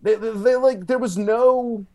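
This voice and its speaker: A man telling comic stories as a guest comedian, in a halting way, repeating words and leaning on filler. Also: extremely high pitch, fairly even intonation, darkish tone. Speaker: podcast guest, stand-up comedian. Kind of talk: animated comic storytelling.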